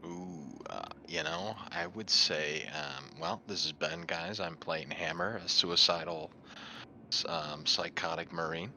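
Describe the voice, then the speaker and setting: A man's voice: sits low, measured pace, bright, forceful tone, slight background noise. A man chatting casually as a tabletop game player, hesitant and drawing out his thoughts. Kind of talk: casual chat.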